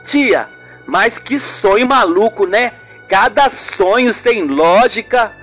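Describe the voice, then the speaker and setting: A woman's voice: medium pitch, expressive, slow pace, fairly bright tone, soft background music. A woman voicing an earnest, insistent young nephew with exclamatory questioning in a radio soap. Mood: puzzled. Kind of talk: amateur radio soap acting.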